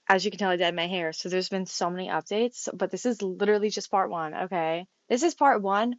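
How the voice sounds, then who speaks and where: Hurried young woman in a dull, dry voice-over. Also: medium pitch, no echo. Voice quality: slight lisp. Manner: hurried, gossipy, exasperated. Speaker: vlogger. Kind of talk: voice-over recorded while editing.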